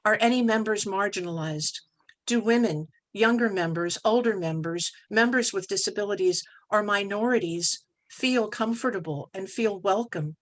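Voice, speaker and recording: A woman presenting at a club training session in a measured, instructive manner, posing rhetorical questions. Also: medium pitch, low-quality recording.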